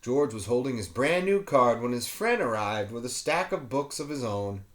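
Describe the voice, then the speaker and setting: A man's voice: medium pitch, expressive, moderate pace. A man reading a children's picture book aloud expressively, with playful asides to young listeners. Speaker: children's story reader, teacher.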